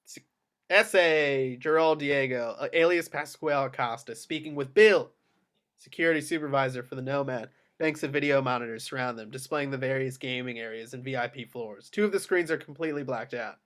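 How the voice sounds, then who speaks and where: A man's voice: medium pitch, a little fast, fairly bright tone, clear, resonant tone. A man narrating the action lines of a screenplay at a table read.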